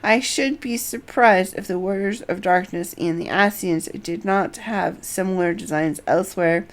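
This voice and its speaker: A woman's voice: mid-range voice, unhurried, natural voice. A woman voicing a serious, businesslike strategist from a fantasy game, formal and explanatory.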